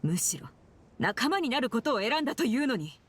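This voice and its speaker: A woman's voice: a little high, sing-song, a little fast, slight background noise. A woman playing a stern, dutiful prison guard in an anime-style game, speaking formally and firmly. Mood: accusing. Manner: formal, firm.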